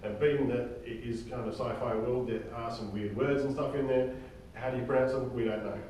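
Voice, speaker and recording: A man informally briefing a group of actors as their director, halting as he goes and often checking in with "okay?". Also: medium pitch, steady tone, a loud crowd. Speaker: director and writer leading a drama table read. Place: reverberant indoor room, recorded from far off. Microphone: distant room microphone. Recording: very echoey room, distant mic, faint noise.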